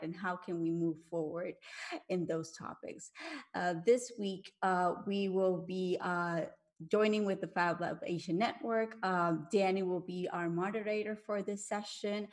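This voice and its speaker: A woman in her thirties hosting an online panel, introducing it haltingly with frequent pauses. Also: on the low side, darkish tone. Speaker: session host. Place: small room at home, on a video call.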